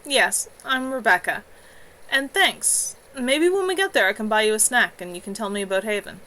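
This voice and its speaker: A woman's voice: on the high side, lively intonation, normal speed, pushed and bright, rough recording. A woman voicing a confident, polite young woman newly arrived in town.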